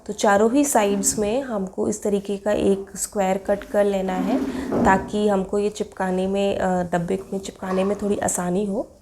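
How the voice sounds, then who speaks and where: A young woman with a cold, her throat hoarse, chattily explaining a craft project step by step in a voice-over. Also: mid-range voice, mellow, slight background noise. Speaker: craft video maker.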